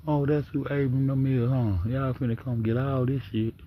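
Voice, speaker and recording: A man hosting a livestream with a big deep voice, talking low and dropping to a near-whisper as he reads text aloud, then riffing in loose, profane commentary. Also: little pitch movement, normal speed, highs cut off, a little hiss or hum. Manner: talks low, often drops to a near-whisper. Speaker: livestream host.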